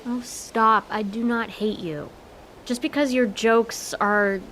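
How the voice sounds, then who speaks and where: Exasperated young American daughter, slightly high voice. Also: female voice, steady tone, takes it slowly, fairly bright tone. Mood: placating, impatient. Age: 20s. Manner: exasperated, dry, sarcastic. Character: exasperated, sarcastic twenty-seven-year-old daughter. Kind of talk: comedic audio drama acting. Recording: faint background hiss.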